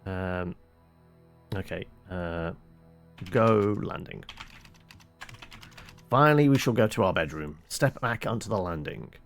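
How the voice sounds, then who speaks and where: Slow gravelly guy doing a let's play, reads game text dramatically, mumbles commands, faint music behind him. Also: sits low, animated. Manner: halting, muttering typed commands, dramatic when reading aloud. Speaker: streamer playing a text adventure game. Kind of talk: let's play, reading game text aloud with casual asides.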